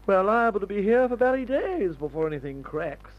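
A jaunty upper-class English airman from an old radio adventure serial. He drawls and blusters with a wide, bouncing pitch, on a dull, muffled recording. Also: big pitch swings, brisk. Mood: jaunty, complacent. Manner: drawling, blustering, peppered with old-fashioned slang.

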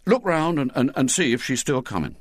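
A man's voice: medium pitch, very animated, brisk, dry recording, clean studio sound, pitched above the performer's own voice. A man voicing a stern schoolboy gang leader who blusters with proud scorn, in a storybook reading. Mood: grumpy, commanding.

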